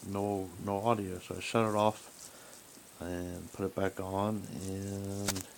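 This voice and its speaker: A man talking casually and haltingly as he rambles through a hobbyist's gadget troubleshooting demonstration. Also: low-pitched, level tone, vocal fry. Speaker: radio hobbyist. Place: small room at home, indoors. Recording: noisy home recording with background hiss.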